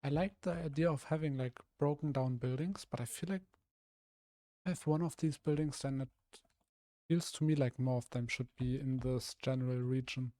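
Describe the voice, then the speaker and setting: A young man from Germany talks through his building plans in a casual stream, his voice rough and a little hoarse. He thinks aloud, trailing off and restarting often, in a mellow, warm tone. The sound is dry and close, with no room around it. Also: medium pitch, steady tone, normal speed, no echo. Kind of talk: casual live-stream commentary while building.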